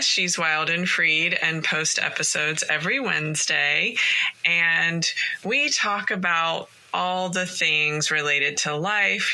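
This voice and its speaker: A woman hosting her own podcast as a life coach, talking in a calm, gentle, encouraging way while recording in a closet at home. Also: on the low side, rough recording. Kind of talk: casual solo podcast monologue.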